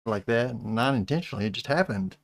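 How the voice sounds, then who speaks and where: A middle-aged man with a Texas accent, hosting a casual livestream chat, speaking slowly with many halting restarts. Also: mid-range voice, lively intonation, gravelly. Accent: Texan. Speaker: channel host.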